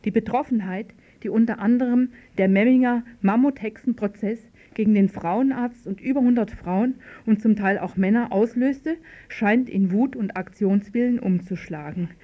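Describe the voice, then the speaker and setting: A woman's voice: a little high, normal speed, hardly any pauses. A woman reading a scripted political report as a radio reporter. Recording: slightly dull archive radio recording.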